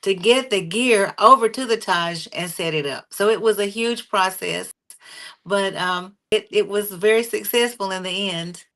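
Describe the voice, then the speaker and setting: A woman singer, a guest in an interview, telling stories from her career in a relaxed, conversational way with fillers and small laughs. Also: medium pitch, unhurried.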